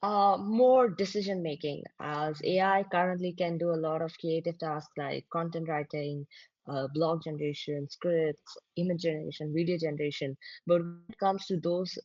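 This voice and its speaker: Low-voiced woman guest, dry dull audio. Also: steady tone, moderate pace, darkish tone, dry recording. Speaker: interview guest, business founder. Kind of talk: conversational interview answers. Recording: slightly dull online-call audio.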